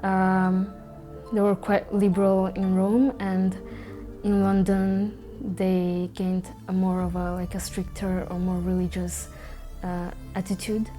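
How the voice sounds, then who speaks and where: A young woman from Italy, calmly telling her own story with some fillers. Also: medium pitch, faint music behind. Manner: calm, measured, with fillers. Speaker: documentary interviewee. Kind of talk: personal testimony.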